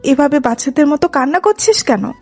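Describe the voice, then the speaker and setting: A woman's voice: high voice, animated, brisk, a little mellow. A woman playing a bossy young elder sister who chides and teases in a dramatised love story. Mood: chiding. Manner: chiding. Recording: music behind the voice.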